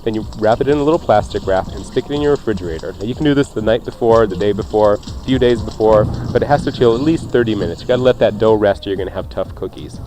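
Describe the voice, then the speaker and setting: A man's voice: fairly low pitch, fast talker, few pauses. A man hosting a radio cooking show, talking through recipes in a casual, conversational way with jokey asides. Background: faint music.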